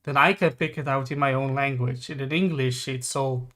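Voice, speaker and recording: A man's voice: medium pitch, steady tone, normal speed, a bit bright. A man whose first language is Dutch, speaking English in a thoughtful way and searching for words. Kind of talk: casual opinion chat.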